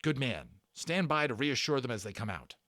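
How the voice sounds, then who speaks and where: A man's voice: mid-range voice, very animated, moderate pace, pushed and bright, dry recording, studio quality. A man voicing a bossy, determined boy from a storybook, speaking in an urgent, terse, commanding way. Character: bossy, determined boy leading a secret battle.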